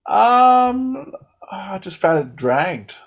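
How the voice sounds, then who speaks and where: A man's voice: high voice, sing-song, extremely slow, gravelly. A man giving his opinions as a podcast panelist, often repeating words as he starts a thought. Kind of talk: casual opinionated discussion.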